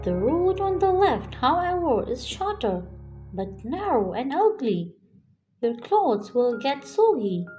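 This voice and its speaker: A woman voicing a wise, helpful male bird in a children's story, giving careful guidance with a raspy edge. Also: sits high, a little mellow, exaggerated voice. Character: ugly, ragged old-looking male bird, helpful and wise.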